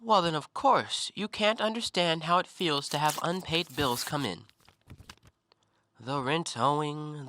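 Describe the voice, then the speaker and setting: A man playing a talkative, educated and self-righteous gentleman antiquarian in an audio drama, given to theatrical, argumentative speeches. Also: high voice, no echo, full character voice. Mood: agitated, boastful. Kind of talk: stage-play acting in an audio drama.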